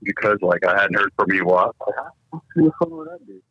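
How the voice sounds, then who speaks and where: Male caller, husky, animated intonation, casual chat through a dull telephone connection. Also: fairly low pitch, brisk, dry recording. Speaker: phone call recipient. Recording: dull lo-fi phone call.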